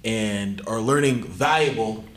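A man's voice: on the low side, a little slow, a bit bright. A man talking casually in a reflective, motivational coaching manner, often checking in with the listener.

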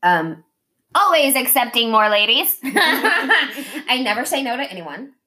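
A woman's voice: fairly high pitch, measured pace. The woman at the kitchen table who tells it straight with plenty of swearing, playing both sides of every conversation she describes, as she does hosting her podcast. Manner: blunt, swearing freely; animated, acting out imagined dialogue. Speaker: podcast host. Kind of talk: casual, candid conversation.

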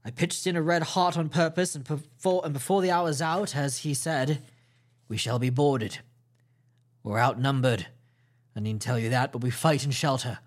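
A stern, disciplined ship's captain, voiced by a male reader gives orders in a clipped, measured way. He speaks a touch lower and slower than the narration, unbending and formal in a storybook reading. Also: close to an everyday voice. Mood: commanding. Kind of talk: audiobook character voice.

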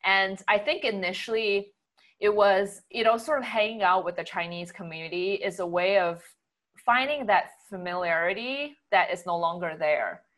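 A woman from Singapore chatting casually in an agreeable, measured way. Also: mid-range voice, fairly bright tone. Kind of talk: casual chat.

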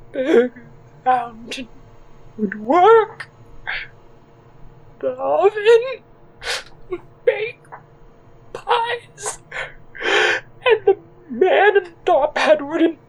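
A man voicing a huge, timid, self-doubting spider person in a tremulous falsetto, halting and whimpering, for an audio drama. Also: heavily acted, rough recording. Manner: halting, whimpering, drawn-out delivery.

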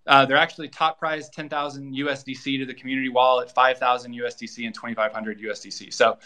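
A man with a bright, forward voice and a middle-range pitch. He speaks as a guest promoting a project, in an informal, explanatory way, laying out details one after another. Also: level tone, fast talker. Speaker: guest, co-creator of an audio drama. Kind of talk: casual promotional interview talk.

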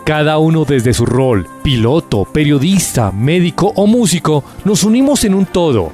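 A man's voice: a little high, very animated, moderate pace, mellow, faint music behind. A man narrating a report in a solemn, lyrical scripted style. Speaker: news narrator.

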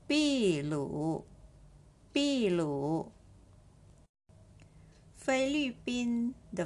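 A woman teaching a language lesson, slowly repeating each word for listeners to practice. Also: a little high, darkish tone. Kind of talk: slow lesson with drills.

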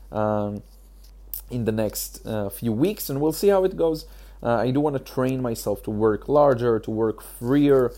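A man with a hoarse, achy throat, hosting a casual solo podcast, talking haltingly with many fillers. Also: mid-range voice, mellow. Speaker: podcast host.

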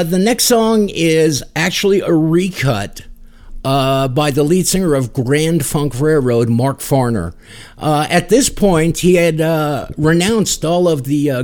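A man with a fairly high voice hosts a music show. He talks in a casual, unscripted way, with a joking, teasing streak as he introduces each track. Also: darkish tone. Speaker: podcast host introducing songs. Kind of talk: casual off-the-cuff radio-style chat.

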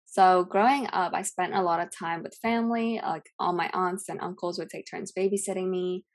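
A woman's voice: fairly low pitch, normal speed. A young woman from California, a Cantonese speaker, chatting casually as a podcast guest with lots of fillers. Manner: relaxed, rambling with many fillers. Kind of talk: casual chat.

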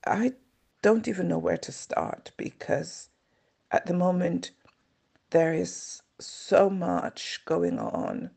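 An older woman, a grandmother, speaking slowly and earnestly as an interview guest. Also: on the low side, wildly swooping pitch, gravelly, mellow, husky. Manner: slow, measured, earnest. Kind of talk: reflective interview answer.

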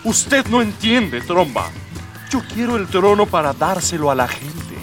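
A man's voice: high voice, big pitch swings, a little slow, far from the mic, over music. A man playing an idealistic, exasperated rebel prince in a radio drama, speaking earnestly and emphatically.

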